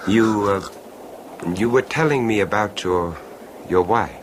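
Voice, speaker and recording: A reserved, patient confidant with a very low voice and slow, hesitant delivery. It is a vintage radio drama recording with clear background noise. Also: male voice. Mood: curious, gentle. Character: quiet, patient male listener gently prompting, reserved. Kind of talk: 1970s radio horror drama acting. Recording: noisy old radio recording.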